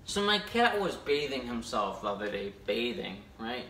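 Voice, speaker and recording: A man's voice: medium pitch, very animated, normal speed, far from the mic, noisy background. A young man telling rambling jokes to camera in his kitchen, chatty and stumbling over words. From United States. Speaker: comedy vlogger. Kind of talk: casual stand-up jokes to camera. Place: home kitchen, indoors. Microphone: phone camera a few steps away. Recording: echoey kitchen.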